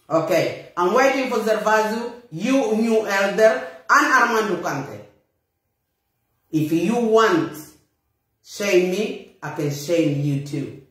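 A middle-aged woman with a Bissau-Guinean accent, delivering a blunt, indignant and emphatic confrontational monologue to a phone camera in a small room. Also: mid-range voice. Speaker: vlogger. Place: small bare room indoors. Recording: very echoey room.

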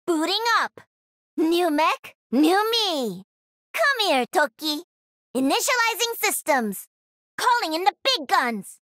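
A woman's voice: extremely high pitch, very animated, takes it slowly, fairly bright tone, no room sound, full character voice. A woman voicing a cocky, playful young gamer who pilots a mech in a video game, with punchy, teasing callouts. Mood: excited, urgent. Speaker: voice actor.

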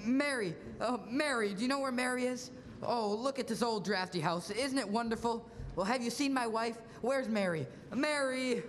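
A young woman acting an overjoyed, desperate family man in a radio play, with an excitable, exclamatory delivery. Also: on the high side, moderate pace, a little hiss or hum, close to an everyday voice. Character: overjoyed, desperate family man given his life back. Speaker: student actor. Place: school auditorium stage. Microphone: stand microphone. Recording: echoey school stage, music behind.

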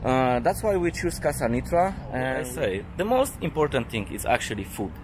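Polish man vlogging, halting english full of uh's, arm's-length camera, city noise around. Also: mid-range voice, very animated. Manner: halting non-native English with many fillers. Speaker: travel vlogger. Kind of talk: casual vlog talk to camera. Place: hotel rooftop by a pool, outdoors. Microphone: handheld camera at arm's length. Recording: outdoor city noise behind.

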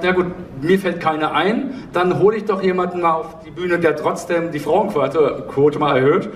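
Male panel host, slightly high voice, halting delivery, echoey stage sound with faint noise. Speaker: panel host, audio drama producer. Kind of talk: live stage moderation. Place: theatre stage, indoors. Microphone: handheld mic over PA, recorded from audience. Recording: roomy, distant hall recording with noise.

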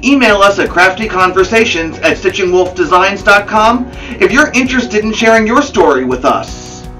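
A man's voice: sits high, expressive, normal speed. Upbeat man over guitar music. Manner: emphatic, salesy, rising to loud. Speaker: host. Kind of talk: upbeat show promo. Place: home stairway indoors. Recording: distant mic with guitar music.

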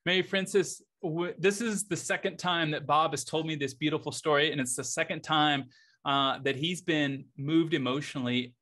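Gentle, higher-voiced American male host. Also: steady tone, moderate pace. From United States. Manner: gentle, appreciative questioning. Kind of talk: interview on video call. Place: home office indoors. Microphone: video call.

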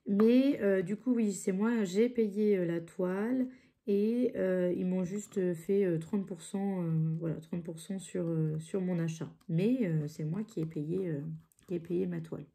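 Dark-voiced woman with a stuffy cold. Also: on the low side, unhurried, gravelly. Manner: rambling, hesitant, many fillers and restarts. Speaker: craft channel host. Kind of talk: casual chat while crafting. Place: home craft table, indoors.

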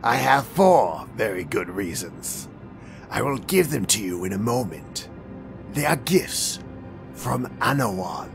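An actor playing a calm, courteous male vampire with a thin, dry voice, speaking slowly and with polite menace in a fan audio drama. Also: on the low side, gravelly, over music, heavily acted. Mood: coaxing. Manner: slow, measured and politely menacing.

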